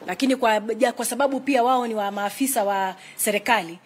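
A woman's voice: on the high side, fairly even intonation, fairly quick, a little hiss or hum. A woman in her thirties with a Kenyan accent, answering press questions as a spokesperson in a formal, explanatory way. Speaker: spokesperson for a retired president's office. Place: indoor room with flags, press briefing.

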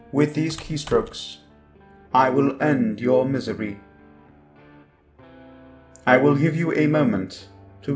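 A man's voice: mid-range voice, darkish tone, lightly acted. A man voicing a sinister, cold and grandiose robot overlord with glitchy, stuttering speech in an ASMR roleplay monologue. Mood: menacing.

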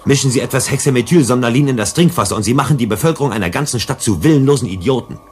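A man's voice: mid-range voice, expressive, rapid-fire, slight background noise, close to an everyday voice. An actor playing a principled, cautious male psychologist in a sci-fi radio play, emphatic and lecturing. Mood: sardonic lecturing. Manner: emphatic, didactic.

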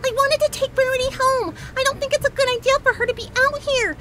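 A woman voicing a bubbly, oblivious young female cartoon pony, excitable and chirpy. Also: very high voice, fast, pitched above the performer's own voice. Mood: cheerful, oblivious.

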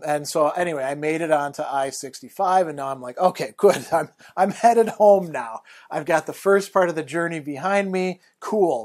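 A middle-aged man telling a personal story to camera, conversational and animated, with many fillers, recorded on a lapel mic in a small room. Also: on the high side. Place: small room in front of a plain wall.

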